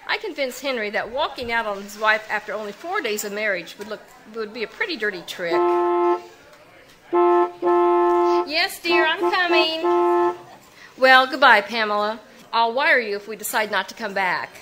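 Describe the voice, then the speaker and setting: A woman playing a poised, confident young wife in a 1940s-style radio drama, speaking breezily and crisply. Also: a little high, lightly acted.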